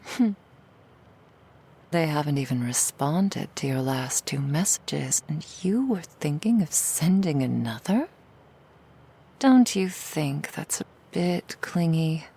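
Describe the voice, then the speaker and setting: A woman voicing a seductive, cruel female demon in an ASMR roleplay, in a slow, breathy whisper full of mocking, intimate taunts. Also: low voice, animated, dark tone, heavily acted. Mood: cruel taunting.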